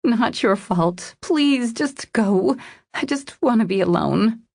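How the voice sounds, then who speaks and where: A woman narrator voicing a distraught, ashamed young woman in a breathy, cracking, pleading voice for an audiobook drama. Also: on the high side, takes it slowly, darkish tone, no room sound, studio quality, pitched above the performer's own voice. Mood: choked, apologetic. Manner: pleading, apologetic.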